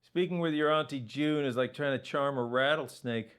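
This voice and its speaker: A man's voice: mid-range voice, normal speed, a bit bright, clear, resonant tone, lightly acted. An actor playing a wry, friendly man in a mystery game, speaking in a conspiratorial way. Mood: confiding. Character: wry, friendly man helping investigate a poisoning.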